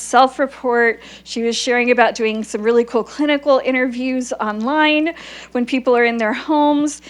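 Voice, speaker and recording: A woman hosting a lecture series, enthusiastically introducing the guest speaker with frequent "uh"s. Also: a little high. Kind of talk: formal introduction at a lecture event.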